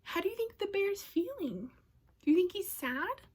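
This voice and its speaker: A woman reading a storybook aloud to children, expressively, often dropping to a hush and addressing her listeners. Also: very high voice, very animated, normal speed. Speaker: storybook reader. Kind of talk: children's read-aloud narration.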